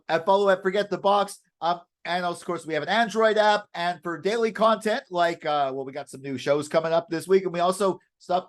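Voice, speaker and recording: A man co-hosting a casual, unscripted chat in a halting, rambling, self-deprecating way. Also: high voice. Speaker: co-host.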